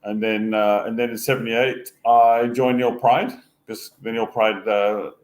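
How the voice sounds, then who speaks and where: A man in his sixties, from Hong Kong, telling his life story as a podcast guest, halting often with fillers and restarts. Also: mid-range voice, little pitch movement. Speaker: guest, former sail designer. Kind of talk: casual podcast interview.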